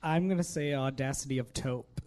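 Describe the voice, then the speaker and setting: A man's voice: mid-range voice, moderate pace. A man playing along as an audience contestant, bantering casually and playfully. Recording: noisy live audience room.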